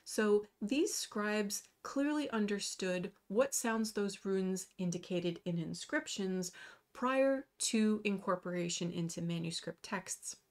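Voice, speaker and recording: Measured woman reading a lecture. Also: medium pitch. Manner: measured, careful reading with slow emphasis on terms. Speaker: runology lecturer. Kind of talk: scripted lecture over slides. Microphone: webcam video in the corner.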